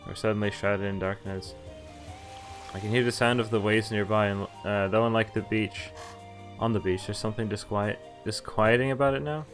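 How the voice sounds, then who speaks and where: A man reading visual novel dialogue and narration aloud in a conversational way, sometimes stumbling and correcting himself. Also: fairly low pitch. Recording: game music behind the voice. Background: visual novel music.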